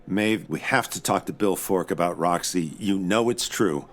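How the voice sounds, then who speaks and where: An actor playing a calm, level-headed investigator who also narrates an audio drama, with a measured delivery. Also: male voice, low voice, close to an everyday voice. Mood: firm.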